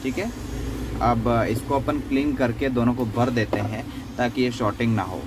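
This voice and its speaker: A man with a medium-pitched voice talks through a hands-on repair tutorial. He is conversational and explanatory, circling back to repeat points. There is clear ambient noise behind him. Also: normal speed. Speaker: phone repair tutorial host. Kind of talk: unscripted step-by-step repair demonstration.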